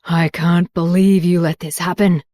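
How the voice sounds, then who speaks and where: A woman voicing an enraged middle-aged father who shouts with contempt. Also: on the low side, little pitch movement, measured pace, no echo, studio quality, lightly acted. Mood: furious.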